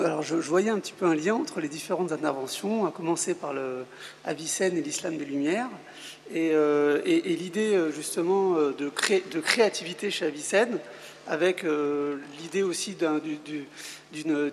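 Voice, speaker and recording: A man with a somewhat high voice puts a question from the floor. He speaks very haltingly, restarting again and again, in a reverberant hall. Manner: very halting, many repeated starts. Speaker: audience member asking a question.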